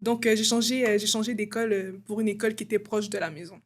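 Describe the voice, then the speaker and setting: A woman's voice: mid-range voice, fairly even intonation, quick pace. A young woman from Congo, a student guest, talking casually in an interview with lots of fillers. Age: young adult. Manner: casual, many fillers like "genre" and "euh".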